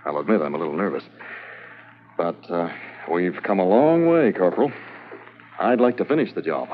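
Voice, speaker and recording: Calm Mountie sergeant. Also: male voice, low voice, normal speed. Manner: calm, steady, understated. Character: cool-headed male Mountie sergeant. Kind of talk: 1950s radio drama acting. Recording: dull lo-fi 1950s radio transcription with hiss.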